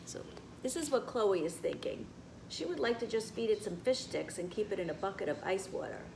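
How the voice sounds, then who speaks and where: A woman's voice: medium pitch, lively intonation, brisk, gravelly, background noise. A woman reading a children's story aloud as a teacher, expressively, with asides to her young listeners.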